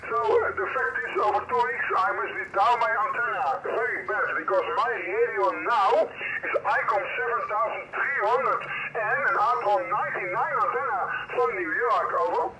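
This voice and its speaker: High-pitched man over very noisy radio. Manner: informal, halting, non-native English phrasing. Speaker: amateur radio operator. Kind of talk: casual two-way radio chat. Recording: very noisy two-way radio reception. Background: loud radio noise.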